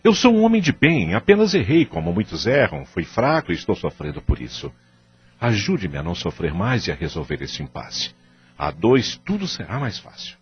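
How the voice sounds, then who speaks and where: A man's voice: on the low side, huge pitch swings, moderate pace, highs cut off. A man acting in an audio drama with a Brazilian accent, playing a guilty, remorseful husband who implores in formal phrasing. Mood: desperate, pleading.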